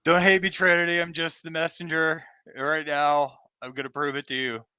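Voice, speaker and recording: A man's voice: on the high side, little pitch movement, moderate pace, bright, forceful tone, clean tone, dry recording. A man playing a gloating, sneering traitor in a radio play reading, taunting his victims in a theatrical, slangy, mocking way.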